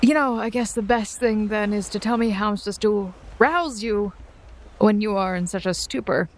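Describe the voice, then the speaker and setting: A woman's voice: medium pitch, a little hiss or hum, rough recording. Woman, tabletop player, playful, chatty, in and out of character. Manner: playful, chatty, quick to laugh at herself.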